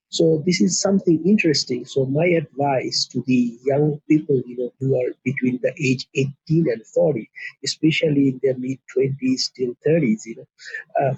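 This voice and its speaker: A man's voice: mid-range voice, vocal fry, a little mellow, slightly rough, no echo. A middle-aged man from Bangladesh, a company co-founder being interviewed, talking earnestly with many fillers. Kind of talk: casual interview. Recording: muffled, dry lo-fi sound.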